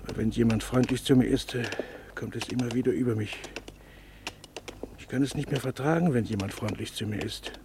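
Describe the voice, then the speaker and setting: An actor playing a weary, guilt-ridden male camp survivor in a radio play, speaking haltingly with many pauses. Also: mid-range voice, noisy background, lightly acted. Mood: apologetic.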